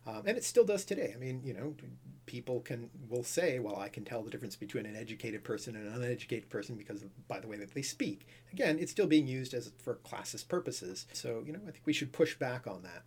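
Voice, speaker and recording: Husky-voiced man explaining language history. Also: medium pitch, lively intonation, fast talker, a little mellow. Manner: thoughtful, explaining with fillers and restarts. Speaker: language expert guest. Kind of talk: casual explanatory conversation.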